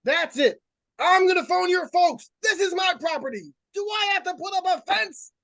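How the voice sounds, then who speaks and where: Guy acting as cranky neighbor man, gravelly and swooping all over, old radio thriller. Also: very high voice, animated, normal speed, bright, forceful tone, dry recording, full character voice. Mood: angry. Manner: irascible, blustering. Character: angry, blustering, hard-of-hearing grown man, grumpy neighbor.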